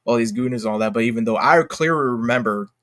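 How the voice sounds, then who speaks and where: A man's voice: medium pitch, a bit bright. Man in a rambling, emphatic casual rant. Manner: rambling, halting, self-interrupting; exclamatory, slangy, emphatic. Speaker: YouTube commentator. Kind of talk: unscripted casual commentary rant.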